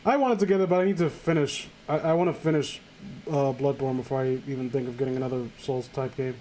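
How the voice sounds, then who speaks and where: A man's voice: mid-range voice, fast talker, warm tone, a little hiss or hum, rough recording. A man hosting a comedic reading show, chatting casually with sarcastic, crude banter. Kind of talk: casual joking group commentary and read-aloud.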